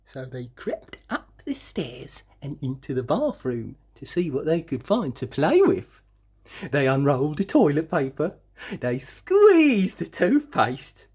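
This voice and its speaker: A woman reading a children's story aloud expressively, with dramatic emphasis and drawn-out words. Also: low-pitched, a little mellow, breathy edge. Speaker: storybook reader.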